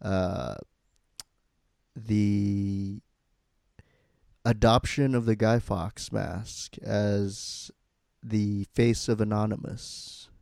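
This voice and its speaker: A man hosting a podcast in casual, halting chat peppered with profanity. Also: on the low side, steady tone, creaky, darkish tone, dry recording, clean studio sound. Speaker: podcast host.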